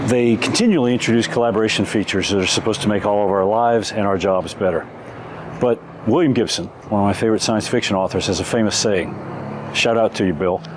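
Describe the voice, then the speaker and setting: A man's voice: fairly low pitch, fast talker. A man presenting a tech video on location in a conversational reporting style. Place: outdoors at a racing circuit and inside cars. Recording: noisy car and outdoor ambience.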